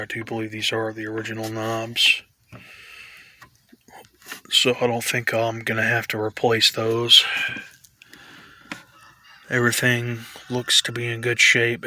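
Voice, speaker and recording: A man giving a casual, tentative show-and-tell commentary in a halting way, like a hobbyist vlogger. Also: medium pitch, pushed and bright.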